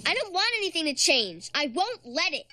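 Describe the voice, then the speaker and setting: A woman voicing an excitable, headstrong young girl in a cartoon, dramatic and insistent. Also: very high voice, fairly quick, over loud music. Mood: distressed, stubborn. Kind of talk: animated series acting.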